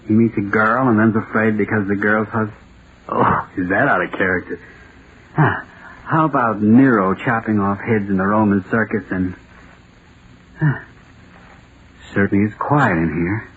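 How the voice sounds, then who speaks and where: An actor playing a nervous, self-doubting American writer in an old-time radio drama, talking to himself in a halting, theatrical way. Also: male voice, lowish voice, natural voice. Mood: nervous musing. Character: jittery, self-doubting male radio playwright sliding into terror. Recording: tinny, noisy old radio recording.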